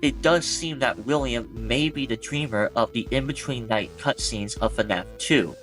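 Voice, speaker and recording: Male host, game theory video essay, chatty narration, rhetorical questions, mid-pitched voice, faint background music. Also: a little slow, a bit bright, rough recording.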